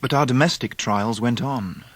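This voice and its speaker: An English actor playing a rueful Victorian gentleman who narrates his memories with measured, dry humour. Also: medium pitch, no echo, natural voice. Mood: wry. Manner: measured, dryly humorous. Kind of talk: dramatised first-person narration.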